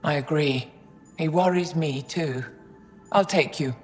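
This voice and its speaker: Dignified elderly alien scholar, reverberant. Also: female voice, low voice, unhurried, soft background music. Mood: composed, gracious. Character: elderly female alien scholar-leader, dignified, frail, wise. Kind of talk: video game voice acting.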